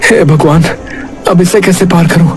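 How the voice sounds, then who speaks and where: Man acting as bewildered young villager, very high male voice, worried, exclamatory, cartoon dialogue, music behind. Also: fairly even intonation, moderate pace, lightly acted. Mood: anxious, desperate. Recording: prominent background music.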